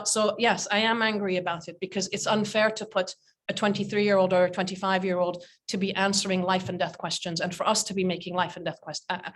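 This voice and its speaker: A woman's voice: mid-range voice, a bit bright. Indignant, emphatic woman. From Britain. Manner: emphatic, indignant, repeats words for force. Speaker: panel speaker, head of a peace-building organization. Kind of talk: impassioned panel talk. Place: indoor room with framed pictures, on a video call. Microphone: video call.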